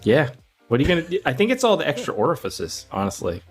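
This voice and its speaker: A man's voice: fairly high pitch, huge pitch swings, fast, husky, faint music behind. A man co-hosting a film podcast, speaking in a casual, conversational way.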